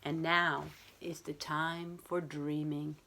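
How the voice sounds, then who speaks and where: A woman's voice: low voice, flat delivery, slow, vocal fry, very noisy. A woman reading a picture book aloud as a school librarian, talking to young children in simple, explanatory phrasing.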